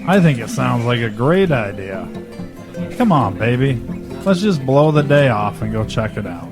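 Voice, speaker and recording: An actor playing an easygoing, affectionate husband in an audio drama. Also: male voice, mid-range voice, sing-song, moderate pace. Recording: music behind the voice.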